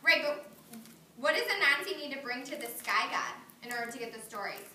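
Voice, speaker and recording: A woman's voice: high-pitched, fairly quick, bright, forceful tone, slight background noise. A woman teacher reading a story aloud to a kindergarten class, praising and coaxing the children with lots of questions. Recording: roomy classroom audio from a distance.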